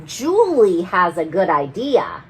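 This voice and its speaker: A woman's voice: fairly low pitch, wildly swooping pitch, takes it slowly, husky, full character voice. A woman voicing a mischievous, boastful tooth fairy who tells her adventures in storybook rhyme. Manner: mischievous, theatrical. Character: sneaky, gleeful, boastful female tooth fairy narrating in rhyme. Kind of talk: rhyming storybook read-aloud.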